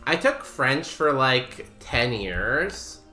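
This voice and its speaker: Slow, rambling young streamer guy. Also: medium pitch, very animated, sharp tone. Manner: rambling, self-deprecating, lots of ums and likes. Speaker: streamer playing a video game. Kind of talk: casual chat with a live stream audience. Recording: roomy echo with faint music behind.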